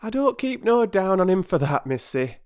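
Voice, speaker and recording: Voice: medium pitch, very animated, normal speed, darkish tone, clear, resonant tone, pitched above the performer's own voice, close to an everyday voice. A narrator voicing an indignant working-class railway porter from a children's storybook, lofty and aggrieved, with ungrammatical speech. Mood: grudging. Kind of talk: children's storybook character reading.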